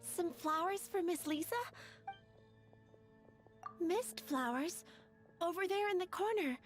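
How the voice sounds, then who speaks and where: A woman's voice: very high voice, lively intonation, slow pace, full character voice. A woman playing a timid, earnest young flower-shop assistant in an anime game dub, girlish, polite and guileless. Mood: polite, helpful. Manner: polite, guileless, easily flustered. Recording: music playing behind.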